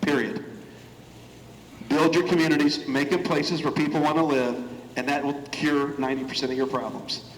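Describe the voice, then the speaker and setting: An Indiana man, a guest speaker, drives his points home in short, emphatic lines. His voice sits somewhat high. It echoes around a large hall with a long tail and faint noise. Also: steady tone, normal speed. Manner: emphatic, punchy declarations. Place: banquet hall.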